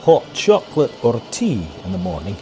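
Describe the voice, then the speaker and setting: An actor playing an obliging, deferential Victorian train conductor with formal, drawn-out courtesy, in a period audio drama. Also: male voice, lowish voice, wildly swooping pitch, dark tone, a little hiss or hum. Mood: courteous.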